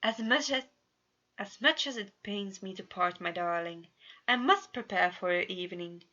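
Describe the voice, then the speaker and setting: A woman's voice: medium pitch, moderate pace, sharp tone, dull audio, close to an everyday voice. A woman voicing a confident, flowery young romantic man in a theatrical manner, in a story reading. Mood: tender, flowery.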